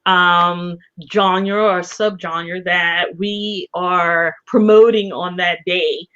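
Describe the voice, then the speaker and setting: A woman's voice: on the low side, steady tone, drawn-out words, a bit bright, clean tone. A woman co-hosting a casual promotional chat in an enthusiastic, encouraging way. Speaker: co-host and event organizer. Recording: slightly dull, lo-fi audio.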